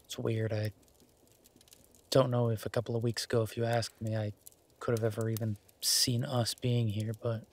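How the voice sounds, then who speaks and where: A man playing an affectionate, laid-back werewolf boyfriend in intimate roleplay audio, gentle and halting, with a husky, breathy voice. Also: mid-range voice, level tone, lightly acted. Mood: reflective, sincere. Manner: gentle, halting, murmured with long pauses. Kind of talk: intimate roleplay audio, slow soft conversational acting.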